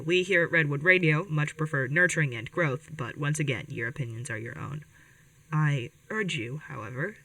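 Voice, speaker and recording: A woman's voice: sits low, fairly bright tone, no room sound, distant mic, rough recording. A woman playing an earnest, protective young rebel radio host in an audio drama, speaking hesitantly and directly to listeners. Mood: earnest, persuasive. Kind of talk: podcast audio drama, conversational radio monologue.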